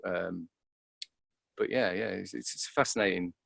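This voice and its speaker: A man, an actor being interviewed, talking reflectively and casually in a halting way, often repeating words as he thinks. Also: low voice, creaky, fairly bright tone, dry recording, clean studio sound.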